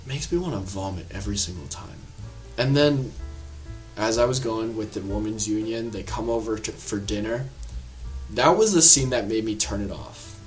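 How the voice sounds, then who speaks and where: Animated, rambling young-sounding man reviewing films. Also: lowish voice, moderate pace, faint music behind. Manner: animated, rambling, lots of filler words and laughs. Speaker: horror film reviewer. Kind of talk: casual spoken film review.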